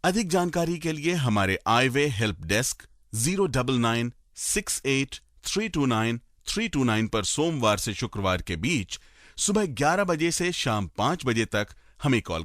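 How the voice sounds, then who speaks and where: A man's voice: mid-range voice, a bit bright, no room sound, studio quality. A man co-hosting a radio show, making announcements and addressing listeners in a courteous, formal way. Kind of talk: radio presenting, announcements and co-host chat.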